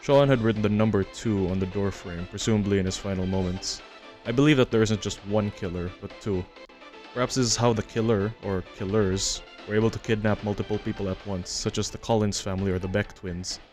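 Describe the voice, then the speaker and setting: A man with a fairly low voice narrates a horror video essay. His delivery is flat and matter-of-fact, measured like a read script. Soft music plays behind him. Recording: music under the voice-over. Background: background music.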